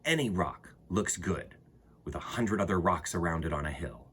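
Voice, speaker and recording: A man with a husky voice reading aloud to children as a storytime host, expressively, with dramatic emphasis and pauses. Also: sits low, animated, a bit bright. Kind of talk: animated picture-book read-aloud for children.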